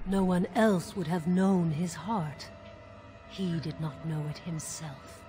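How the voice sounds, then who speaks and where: A woman's voice: on the low side, fairly even intonation, slow pace, music in the background. A woman playing a possessive, seductive desire demon in a fantasy video game, silky and coldly defiant. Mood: cool, seductive.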